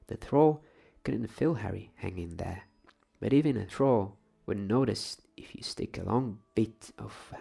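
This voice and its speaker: A man reading a story aloud in a soft, hushed, breathy ASMR style, slipping at times into whispers. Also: on the low side.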